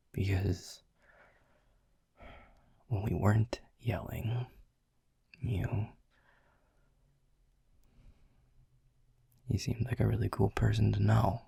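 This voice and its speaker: A world-weary, grumpy middle manager, played by a man in an audio roleplay, speaking low and unhurried in a breathy half-whisper. His gravelly, sardonic voice teases and curses lightly, dry and close. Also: dry recording, full character voice. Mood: earnest, wistful. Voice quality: hushed, breathy. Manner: sardonic, teasing, swears casually. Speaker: boss.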